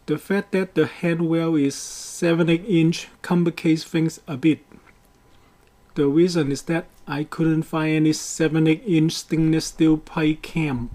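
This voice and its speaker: Male how-to narrator, mellow tone, unhurried and careful delivery. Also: fairly high pitch. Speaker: DIY boat project presenter.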